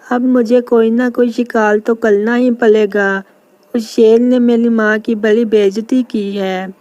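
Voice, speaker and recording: A timid little lion cub from a cartoon fable , voiced by a woman. He sounds earnest and eager to please, slightly raised in pitch, with a dry and close sound. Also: steady tone, measured pace, a little mellow, no echo, dull audio, lightly acted. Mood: determined.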